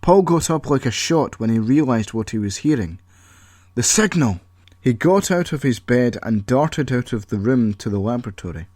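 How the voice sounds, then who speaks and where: A man narrating an original audio story in an even, measured reading voice. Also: medium pitch.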